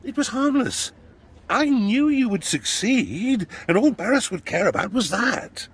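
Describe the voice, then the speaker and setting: An actor playing a fawning, cowardly noble schemer in a video game, with a husky voice and theatrical, ingratiating delivery. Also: male voice, very high-pitched, animated, a little slow, full character voice. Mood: pleading, frightened. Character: oily, cowardly male noble schemer, fawning and self-serving. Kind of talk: cinematic game voice acting.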